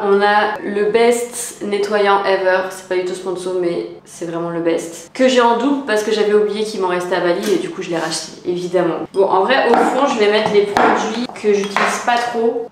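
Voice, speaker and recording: A young woman vlogs in a loose, chatty way, full of slangy asides and little jokes about herself. Her pitch sits in a middle range for a woman. Faint clicks can be heard behind her voice. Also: fairly even intonation, moderate pace, hardly any pauses, slight background noise. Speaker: lifestyle vlogger. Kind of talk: casual vlog chat to camera.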